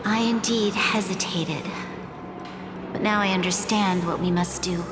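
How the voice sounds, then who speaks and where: Game role: solemn, resolute woman leader voiced by an actress, mid-pitched, deliberate, in long reverb. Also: steady tone, natural voice. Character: solemn, resolute female leader, selfless and hopeful. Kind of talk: video game story dialogue. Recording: long reverb, music behind.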